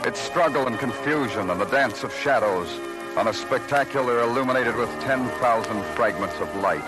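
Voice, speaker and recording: A man's voice: mid-range voice, close to an everyday voice, rough recording. An actor playing a weary, brooding male police detective in mid-century radio noir style, with poetic delivery. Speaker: lead actor and narrator. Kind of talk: 1950s radio noir acting. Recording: old radio drama with music behind.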